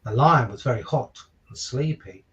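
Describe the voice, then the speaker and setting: Animated man reading a children's story, distant mic. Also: medium pitch, moderate pace, highs cut off. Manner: chatty asides and questions to listeners. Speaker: stand-in children's story reader. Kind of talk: children's picture book read-aloud. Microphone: virtual call, away from the microphone.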